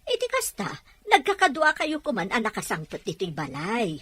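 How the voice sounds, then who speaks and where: A husky older motherly woman, played by an actress, caring and generous in a dramatized family tale. Her intonation moves up and down in an animated way. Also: a little high, moderate pace, heavily acted. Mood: warmly pleased. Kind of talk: dramatized audio story acting.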